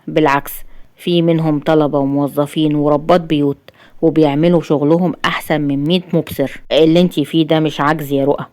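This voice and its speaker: A woman's voice: deep voice, darkish tone, natural voice. A woman with an Egyptian accent voicing a tormented, tender husband in an audio novel, earnest and sorrowful. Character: tormented, tender husband, once a powerful man.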